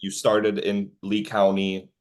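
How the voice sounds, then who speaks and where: A man with a very deep voice hosts a podcast interview. He asks his questions in a friendly, encouraging way and lets his guest talk. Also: monotone, slow. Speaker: podcast host.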